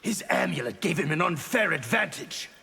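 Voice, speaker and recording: A man playing an arrogant, brutal army officer in a video game, gruff and contemptuous. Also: fairly high pitch, animated, normal speed, bright, forceful tone, heavily acted. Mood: contemptuous, boastful. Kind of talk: video game character dialogue.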